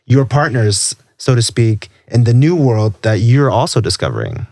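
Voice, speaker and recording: A man hosting a podcast interview with a voice of middling pitch. He speaks in a measured way, asking questions and summing up his guest's story. The sound is dry and close to the mic. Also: big pitch swings, gravelly, dark tone, no room sound. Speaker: podcast host.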